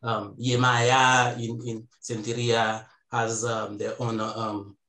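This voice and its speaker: A man in his thirties on a video call from home, speaking slowly and reflectively with frequent pauses. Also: medium pitch, flat delivery, pushed and bright, reverberant. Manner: slow and reflective, hesitant fillers. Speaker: panelist. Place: home room. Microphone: earbuds on video call.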